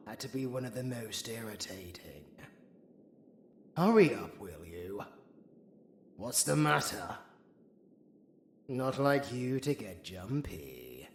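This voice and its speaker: Casting: male actor as undead British necromancer villain for audio roleplay; sardonic, flirtatious, gravelly, with wildly swooping theatrical delivery over faint background noise. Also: on the low side, fairly bright tone, roomy sound, heavily acted. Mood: exasperated. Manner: theatrical, sarcastic, swearing, drawn-out delivery. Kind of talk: intimate audio roleplay acting, addressed to the listener.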